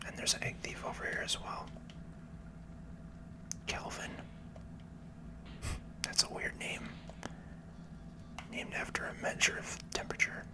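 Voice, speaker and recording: ASMR gaming commentator. Breathy whisper throughout, casual and unhurried, musing softly through the play. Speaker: video game player and commentator.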